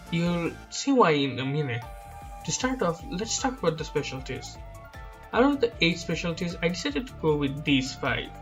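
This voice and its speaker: A man giving casual, self-deprecating speculation about a video game, hedging often with filler words. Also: a little high. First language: not Chinese. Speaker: gaming YouTuber. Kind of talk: speculative game commentary over slides. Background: background music.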